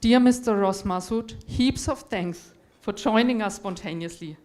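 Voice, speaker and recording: A woman's voice: mid-range voice, unhurried, a little mellow. A woman from Europe moderating a conference panel, giving formal, courteous introductions of the speakers. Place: conference hall.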